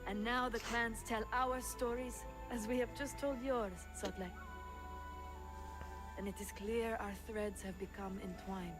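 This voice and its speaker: A woman playing a proud, resolute Na'vi clan storyteller, speaking in a measured, ceremonial way in a game cutscene. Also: on the high side, fairly even intonation, close to an everyday voice, low-quality recording. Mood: solemn, proud. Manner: measured, declamatory. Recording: game music behind the voice. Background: music.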